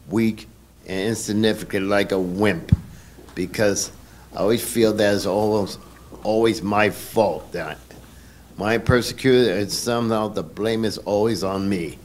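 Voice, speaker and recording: Man, low voice, slow, subdued, faint background noise. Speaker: church group member.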